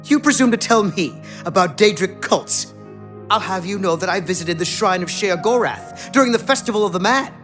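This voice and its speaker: A man's voice: high-pitched, lively intonation, moderate pace, fairly bright tone, faint music behind. A man voicing a pompous, easily flustered, defensive book collector in a fantasy video game. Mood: indignant, defensive. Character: haughty, nervous, defensive male book collector and cult enthusiast. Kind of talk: video game dialogue acting.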